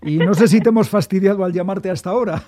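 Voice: medium pitch, quick pace, warm tone. A radio host asking questions and moving the interview along.

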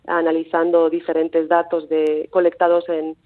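A woman's voice: deep voice, completely flat delivery, moderate pace. A Basque-born woman in her thirties with a Mexican-tinged Spanish accent, a coastal researcher speaking hesitantly with many fillers and restarts. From Basque Country. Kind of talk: phone interview. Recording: tinny phone line.

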